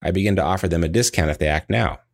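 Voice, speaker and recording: A man narrating a scripted training lesson in a measured, explanatory way, as if reading from prepared text. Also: deep voice, vocal fry. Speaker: dental practice trainer.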